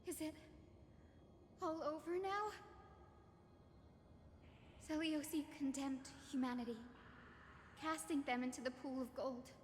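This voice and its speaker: An actress playing a gentle, awed young woman in a fantasy game, speaking with soft wonder. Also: high-pitched, measured pace, long pauses, long reverb tail, a little hiss or hum. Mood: awed, solemn. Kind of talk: video game voice acting.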